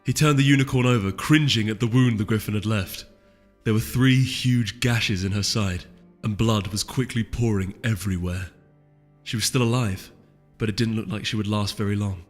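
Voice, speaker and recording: A man reading fantasy fiction as a story narrator, in an expressive, suspenseful scripted style. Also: on the low side, moderate pace. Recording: long hall reverb under music.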